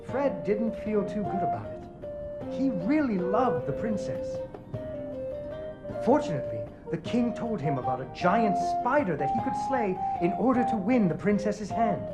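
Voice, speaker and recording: Expressive, playful father telling a fairy tale. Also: sits high, normal speed, over loud music. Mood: storytelling, wry. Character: patient father improvising a fairy tale for his daughter. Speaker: storyteller. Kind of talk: dramatic bedtime-story narration in a video game.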